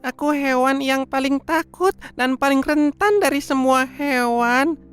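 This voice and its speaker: A woman's voice: high-pitched, measured pace. A woman voicing a small, timid male mouse who pleads and stammers in a children's storybook tale. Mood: pleading.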